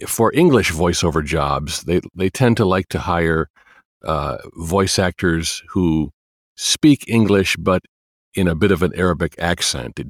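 A man's voice: sits low, no room sound, clean studio sound. A man hosting an interview, asking conversational, probing questions. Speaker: interview host. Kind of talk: podcast interview.

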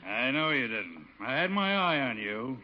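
A man's voice: medium pitch, sing-song, moderate pace, lightly acted. An American actor playing a suspicious, sarcastic beat policeman in a radio comedy, curt and scolding. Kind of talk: 1950s radio comedy acting. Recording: muffled, noisy old radio recording.

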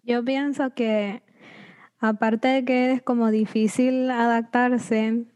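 A young woman telling funny anecdotes in a relaxed group chat, amused at herself. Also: fairly high pitch, slow pace, clean tone. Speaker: podcast guest, student.

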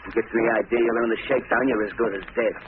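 A man acting a hard-bitten, impatient gangster in old radio drama, gruffly barking slangy orders. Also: fairly low pitch, little pitch movement, very fast, close to an everyday voice. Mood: hard, commanding. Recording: scratchy, noisy, narrow-band old radio recording.

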